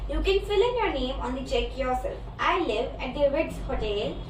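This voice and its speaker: A woman's voice: high voice, animated, moderate pace. A young woman student playing a brash, boastful film star in an amateur reader's theatre piece. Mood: businesslike, bossy. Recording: echoey room, distant mic, clear noise.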